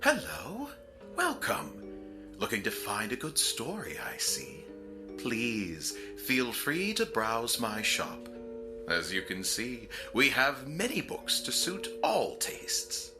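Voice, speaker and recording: An eerie, unctuous ghostly bookkeeper from a horror radio play, welcomes patrons with theatrical courtesy. The delivery is slow and savoring, with wild swings in pitch, and music plays underneath. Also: male voice, huge pitch swings. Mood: welcoming, sly. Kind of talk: old-time horror radio play acting.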